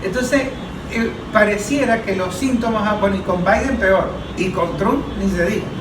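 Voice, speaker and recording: A man's voice: sits high, moderate pace, far from the mic. Guy discussing politics, lays out examples one by one, echoey room w background noise. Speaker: panelist, political analyst.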